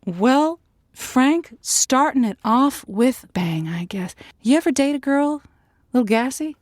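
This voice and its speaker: Comic call-in host: woman, slightly high register, conversational, emphatic, folksy, very dry sound. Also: lively intonation, measured pace, no room sound. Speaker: advice show host.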